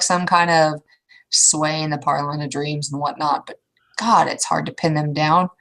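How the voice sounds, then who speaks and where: A woman playing an opinionated, experienced troll mentor in an improvised roleplay, speaking bluntly and informally as she advises. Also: lowish voice. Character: opinionated, world-wary elder troll mentor, advising a newcomer. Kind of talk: improvised in-character roleplay dialogue.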